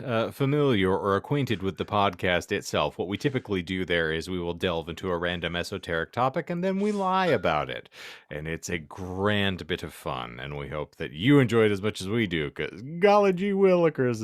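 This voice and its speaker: A man's voice: on the low side, very animated, normal speed, no room sound, studio quality. A man hosting a comedic podcast, wordy and theatrical, rambling through florid, alliterative phrasing. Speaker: podcast host.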